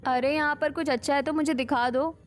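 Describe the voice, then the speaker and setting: High-voiced, spoilt young city bride acted by a woman. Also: fairly even intonation, a little fast, faint music behind, lightly acted. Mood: self-absorbed. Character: spoilt, pouting young city daughter-in-law. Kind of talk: Hindi animated moral story dialogue.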